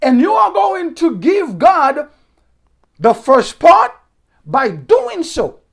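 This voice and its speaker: A middle-aged Haitian pastor preaches a sermon with a Haitian Creole accent. His pitch swings widely as calm, filler-laden teaching rises into emphatic outbursts. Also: slow, vocal fry. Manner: preaching with rising emphatic bursts and frequent fillers.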